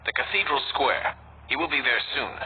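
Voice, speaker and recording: A man's voice: medium pitch, animated, brisk, natural voice. Man playing a shrewd rebel leader, thin audio. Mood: instructing. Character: shrewd male resistance leader briefing an assassin. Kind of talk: video game cutscene acting. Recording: tinny, phone-like game audio with hiss.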